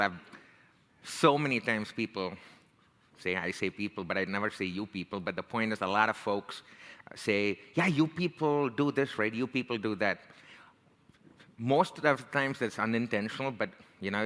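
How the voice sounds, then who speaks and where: Indian-accented man, bright voice in a hall. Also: lowish voice, moderate pace. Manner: conversational, frequent "right?" and "you know". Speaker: speaker. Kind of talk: motivational talk.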